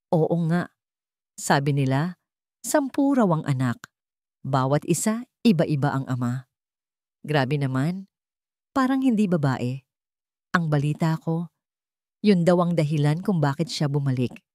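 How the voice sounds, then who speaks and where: A woman's voice: deep voice, big pitch swings, unhurried, pauses often, dark tone, no room sound, studio quality. A woman with a Filipino accent playing a scornful, nosy market gossip in a catty, conspiratorial manner for a story.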